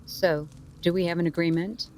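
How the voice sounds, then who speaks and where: A woman's voice: on the low side, steady tone, moderate pace, darkish tone, slight background noise, close to an everyday voice. An actress playing a firm, coaxing, practical middle-aged mother of grown sons in an audio drama. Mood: matter-of-fact. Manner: firm, coaxing, matter-of-fact.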